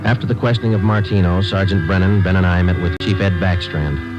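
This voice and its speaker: An actor playing a terse, persistent police detective sergeant in old-time radio style, clipped and matter-of-fact. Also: male voice, sits low, steady tone, a little fast, close to an everyday voice. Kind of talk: old-time radio crime drama acting. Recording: dull band-limited old radio recording, music.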